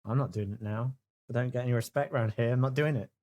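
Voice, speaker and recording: A man's voice: mid-range voice, fast talker, darkish tone. A man chatting casually as a player on a role-playing podcast, jokey and given to mock grumbling. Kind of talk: casual chat.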